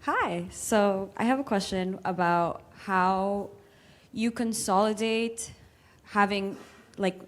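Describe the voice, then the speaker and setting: A woman's voice: mid-range voice, slow. Young woman asking with casual likes. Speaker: audience member.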